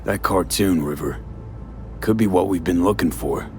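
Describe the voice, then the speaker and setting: An actor voicing a hard-boiled, blunt mercenary in a cyberpunk video game, with a low, husky delivery. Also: male voice, normal speed, soft background music, natural voice. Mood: matter-of-fact.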